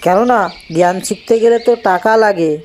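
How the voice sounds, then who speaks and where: A woman's voice: medium pitch, moderate pace, darkish tone, slight background noise, close to an everyday voice. A woman voicing a simple, stubborn young village husband in a cartoon. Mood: calm, evasive. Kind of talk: cartoon voice acting.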